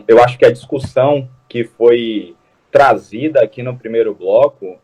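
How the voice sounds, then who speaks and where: Man, earnest, halting restarts, talk-show guest. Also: medium pitch, a little mellow, husky, poor audio. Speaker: guest, food security activist. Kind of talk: casual talk-show chat.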